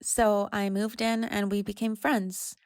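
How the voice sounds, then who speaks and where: An actress as a troubled young woman in her twenties in an audio drama. Her voice is creaky and recorded dry and close, at an ordinary pitch. She speaks candidly and quietly, as if confessing. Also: flat delivery, unhurried, dry recording, lightly acted. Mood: reflective, confessional. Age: 20s. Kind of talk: audio drama monologue and dialogue.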